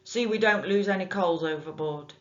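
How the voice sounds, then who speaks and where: A woman voicing a gruff, bossy boatman barking orders, in a dull lo-fi recording. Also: lowish voice, moderate pace, voice pushed up, lightly acted.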